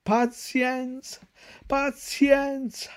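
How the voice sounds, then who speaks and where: A man's voice: very high voice, flat delivery, extremely slow, smooth, pitched above the performer's own voice, heavily acted. A man voicing a boy turned into a donkey, plaintive and sorry for himself, braying. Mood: resigned.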